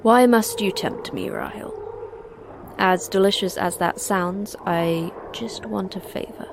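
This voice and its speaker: An actress playing a terse, sarcastic manager of a secret facility in an audio drama, with a dry, curt delivery. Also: female voice, mid-range voice, slow, noisy background. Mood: dry, sardonic. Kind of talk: audio fiction acting.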